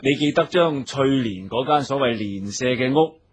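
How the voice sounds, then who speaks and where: A man with a Cantonese accent playing an authoritative gang boss and club owner in a radio drama, giving orders in a deliberate, lecturing way. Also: medium pitch, lively intonation, husky. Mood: measured authority. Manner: deliberate, instructing, lecturing subordinates.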